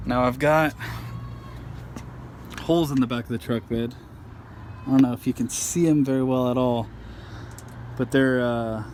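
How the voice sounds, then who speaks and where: A man giving an informal, halting how-to demonstration, thinking aloud as he goes. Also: mid-range voice. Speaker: amateur truck owner showing a DIY install. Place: outdoors at a truck. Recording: handheld outdoor recording with clear background noise.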